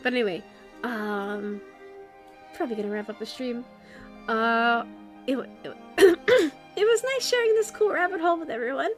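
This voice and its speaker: A woman streamer chatting casually at her computer, rambling and restarting her sentences, her voice going hoarse. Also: fairly high pitch, expressive, normal speed, long pauses, soft background music. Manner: rambling, self-interrupting, many restarts. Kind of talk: casual livestream chat.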